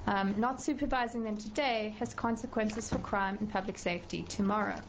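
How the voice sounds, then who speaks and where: A middle-aged woman with a South African accent, a professor testifying before a committee in a measured way, with frequent fillers and restarts. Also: medium pitch, noisy background. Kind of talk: formal testimony.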